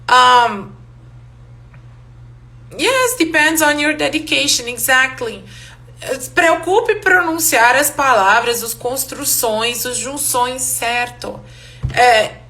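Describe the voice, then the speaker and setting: Woman with a Hungarian accent, native Hungarian, fairly high bright voice, lively live-stream language teacher. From Romania. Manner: lively, switching between Portuguese and English, laughing often. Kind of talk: live online class.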